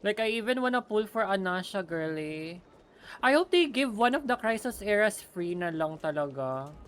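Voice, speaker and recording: A woman's voice: mid-range voice, expressive, moderate pace. A young woman, chatting casually while streaming a game, mixing in Tagalog. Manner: chatty, mixes Tagalog into English.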